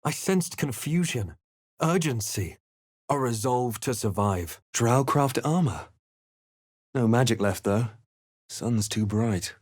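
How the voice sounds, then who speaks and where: An actor playing a grave, measured fantasy adventurer in a video game, narrating with solemn, deliberate theatricality. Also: male voice, medium pitch, animated, a little mellow, no room sound, studio quality. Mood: wry, curt. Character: measured, grave male fantasy adventurer recalling his journey. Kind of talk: scripted game narration and character lines.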